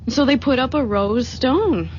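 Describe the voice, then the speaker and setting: Animated, high-voiced American bride-to-be. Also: female voice, moderate pace. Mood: earnest. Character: eager, affectionate young bride-to-be. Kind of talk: 1970s radio drama acting. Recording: dull vintage radio, car noise. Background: car engine and road noise.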